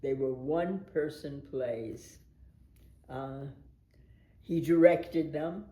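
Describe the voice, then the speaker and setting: An older woman from the States recalls her life on stage at an unhurried pace, lively and quick to laugh. Her voice carries a roomy echo around it. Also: deep voice. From United States. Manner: animated storyteller who laughs often. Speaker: veteran stage actress. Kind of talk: reminiscing documentary interview.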